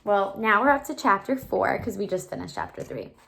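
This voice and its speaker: A woman reading a children's novel aloud like a teacher, with animated storytelling and asides to explain or wonder. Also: mid-range voice, a little fast, vocal fry, poor audio. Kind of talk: expressive children's book read-aloud.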